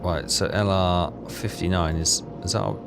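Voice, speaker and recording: A man's voice: sits low, fairly even intonation, moderate pace, creaky, music in the background. A man hosting a game playthrough, thinking aloud in casual commentary.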